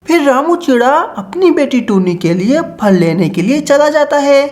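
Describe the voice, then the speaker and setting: A woman's voice: high voice, very animated, moderate pace, darkish tone. A woman reading scripted narration for a children's cartoon story.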